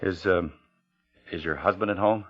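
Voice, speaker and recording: A low-voiced radio suspense killer. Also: male voice, moderate pace. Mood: guarded, polite. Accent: American. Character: anxious killer hunting a witness, coolly polite schemer. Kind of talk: 1940s-50s radio suspense acting, narrating. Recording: muffled old radio recording, organ music behind.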